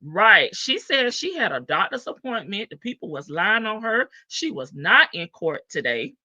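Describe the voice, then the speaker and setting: Bright, slow-talking woman livestream host. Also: medium pitch, lo-fi sound. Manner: chatty and playful, laughs often, greets viewers by name. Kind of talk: casual gossip commentary on a court case.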